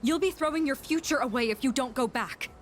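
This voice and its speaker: A woman's voice: high voice, brisk, close to an everyday voice. Woman playing an earnest, formal student council president. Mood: concerned. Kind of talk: video game voice acting.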